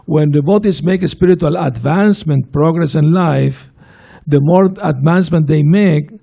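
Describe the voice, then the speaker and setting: A man's voice: a little high, normal speed, gravelly, warm tone. A man leading a scripture class and patiently answering questions from the audience. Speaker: swami giving a class.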